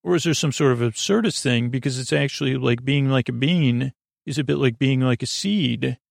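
A man hosting a bedtime podcast in a meandering, halting monologue, trailing off and restarting his thoughts, with a gravelly, creaky voice. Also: mid-range voice, no room sound, clean studio sound. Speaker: podcast host. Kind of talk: rambling bedtime monologue to help listeners sleep.

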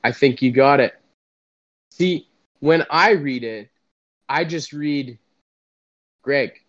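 A young Canadian man co-hosting a podcast from home, speaking slowly and solemnly in a deadpan tone. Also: medium pitch. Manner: slow, drawn-out, solemn. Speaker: podcast co-host. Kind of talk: deadpan mock-serious comedy chat. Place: home, remote recording. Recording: dull, dry, lo-fi sound.

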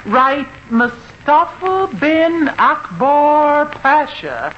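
Excitable neighborhood wife with dry wit, high and swooping; noisy 1950s radio sound. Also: female voice, drawn-out words, exaggerated voice. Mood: intrigued, teasing. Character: wry, excitable gossipy American wife. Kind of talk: 1950s radio situation comedy acting. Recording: muffled, noisy old radio recording.

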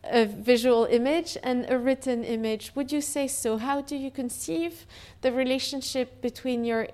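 A woman's voice: sits high, normal speed, a little mellow. A woman interviewing an artist, asking long, carefully built questions.

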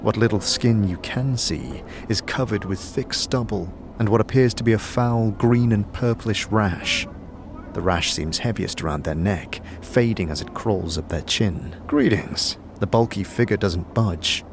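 A man's voice: lowish voice, measured pace, background noise. A man narrating a fantasy audio drama in scripted second-person storytelling.

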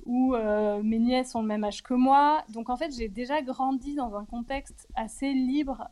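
A woman in her forties speaking candidly about her personal life in an interview, reflective and hesitant, often pausing on fillers. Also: on the high side, dark tone. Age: 40s.

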